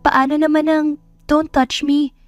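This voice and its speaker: A woman's voice: sits high, level tone, measured pace, no echo, soft background music, pitched above the performer's own voice. A woman voicing a sweet, naive young provincial woman in a romance audio drama, earnest, timid and full of questions. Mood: curious.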